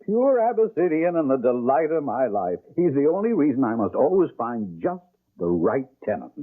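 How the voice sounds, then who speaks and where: A rich old gentleman, courtly and doting, with a husky voice that swoops up and down. He coaxes and fusses in radio drama style, heard through a tinny old broadcast. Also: medium pitch, very animated, moderate pace. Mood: doting, effusive. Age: older. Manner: fussy, coaxing, effusive, hesitant fillers.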